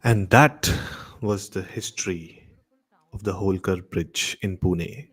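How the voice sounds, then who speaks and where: A man with a fairly low voice, speaking as the host of a late-night audio show. He addresses listeners directly and informally, with deliberate phrasing and repeated words for emphasis. The sound is close and dry. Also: fairly bright tone, breathy edge, no echo. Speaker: podcast host.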